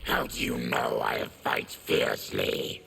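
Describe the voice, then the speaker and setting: An actor playing a bitter, proud, vengeful mutant ex-soldier with a gravelly growl, in formal, menacing fighting-game dialogue. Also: male voice, extremely low pitch, slow, sharp tone, a little hiss or hum, heavily acted. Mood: bitter, grim.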